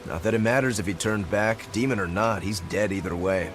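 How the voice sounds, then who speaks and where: Um ator interpretando um espadachim descontraído e alegre, de fala franca e brincalhona. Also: male voice, on the low side, fairly quick, music in the background, natural voice. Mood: casual. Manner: casual, blunt, playful. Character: laid-back cheerful male swordsman. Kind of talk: game dub acting.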